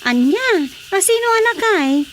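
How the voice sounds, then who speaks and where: A woman's voice: very high-pitched, sing-song, moderate pace, fairly bright tone, slight background noise, exaggerated voice. A woman playing a skeptical, questioning village woman with an Ilocano accent in a radio comedy-drama. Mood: curious.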